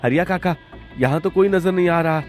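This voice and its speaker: A man's voice: high voice, brisk, darkish tone, soft background music, close to an everyday voice. A man voicing a studious, trusting young village son who speaks politely and earnestly in a cartoon story. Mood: doubtful.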